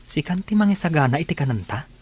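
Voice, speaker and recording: A man's voice: fairly high pitch, very animated, fast, darkish tone, slightly rough. An Ilocano Filipino man playing a lovelorn, good-natured young village suitor in a radio drama. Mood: friendly.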